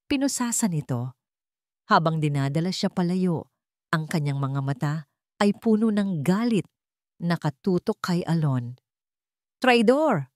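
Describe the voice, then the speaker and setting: A woman's voice: lowish voice, expressive, measured pace, long pauses, a little mellow, no echo, clean studio sound. A woman narrating a scripted dramatic story.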